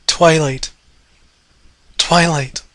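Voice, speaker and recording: A man acts out a small young boy dragon from a fantasy storybook, high and bright in voice. He is desperate and grief-stricken, crying out in a raw, childlike way, recorded close and dry. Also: steady tone, drawn-out words, no room sound, full character voice. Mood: tearful pleading. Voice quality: high, shrieking.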